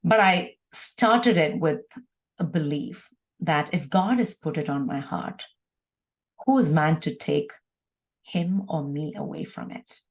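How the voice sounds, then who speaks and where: Low-pitched woman, earnest. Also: slow pace, low-quality recording. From India. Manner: earnest, emphatic, with rhetorical repetition. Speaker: podcast guest, ministry leader. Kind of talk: conversational interview, encouraging testimony.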